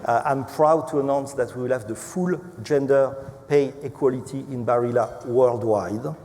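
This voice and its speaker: Halting Frenchman in an echoey hall. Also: medium pitch. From France. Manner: halting, hesitant, repeats words. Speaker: company executive on a panel. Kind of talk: unscripted panel remarks. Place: large event hall. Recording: echoey hall with clear background noise.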